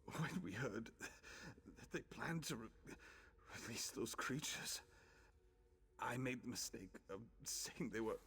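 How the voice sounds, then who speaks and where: An actor playing a wounded, regretful male cultist in a video game, husky and strained with pain. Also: mid-range voice, expressive, slow pace, fairly bright tone, heavily acted.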